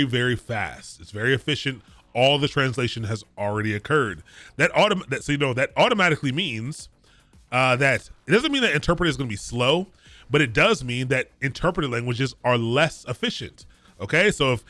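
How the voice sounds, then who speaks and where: A man from the Baltimore area with a Baltimore accent, teaching a live-streamed coding class in a quick, casual way, repeating and restarting phrases and chatting with viewers. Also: mid-range voice, bright, forceful tone, no echo. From Baltimore area, Maryland. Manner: chats with viewers between points. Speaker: coding bootcamp instructor.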